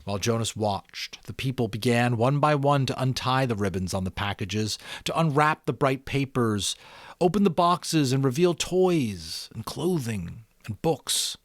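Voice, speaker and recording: Guy reading an audiobook dramatically, pitch moves a lot, deliberate pauses, dry close recording. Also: dry recording. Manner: expressive, deliberate phrasing with pauses. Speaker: amateur audiobook narrator. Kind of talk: dramatic storybook narration.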